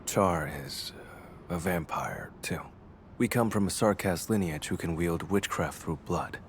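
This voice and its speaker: A brooding vampire investigator from a video game. His voice sits very low, and he speaks in clipped, deliberate phrases with grave restraint. Faint ambient noise runs behind him. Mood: grave, determined. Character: brooding male vampire hunter, stoic loner investigator. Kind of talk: scripted game dialogue.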